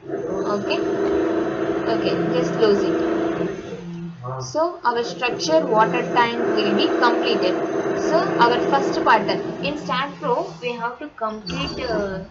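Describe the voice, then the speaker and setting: A woman with an Indian accent narrating a step-by-step software tutorial in a halting, instructional manner. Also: on the high side, animated. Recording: muffled, very noisy, vehicle sounds behind.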